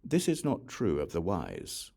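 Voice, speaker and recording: A man narrating a Buddhist scripture as an audiobook, reading in a measured, deliberate way. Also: deep voice, wildly swooping pitch, vocal fry, dark tone, breathy edge.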